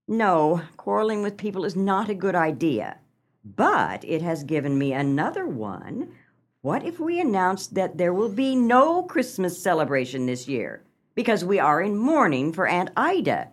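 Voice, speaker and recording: A woman's voice: on the low side, sing-song, a little slow. A woman playing a melodramatic, flustered small-town wife, swooping expressively. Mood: scheming. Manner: theatrical, exclamatory, dramatic sighing. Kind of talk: comic radio play acting.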